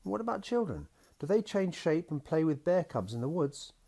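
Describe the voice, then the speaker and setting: A man's voice: fairly high pitch, very animated, normal speed, creaky, dark tone, voice pushed up, heavily acted. A man voicing a curious, eager little girl in a picture-book story, full of questions for her daddy.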